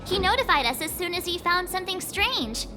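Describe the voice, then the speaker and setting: A woman voicing a sweet, cheerful young girl who loves cute animals, expressive and playful, in a video game. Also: extremely high pitch, fairly quick, music in the background. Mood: curious, thoughtful. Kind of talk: video game character voice acting.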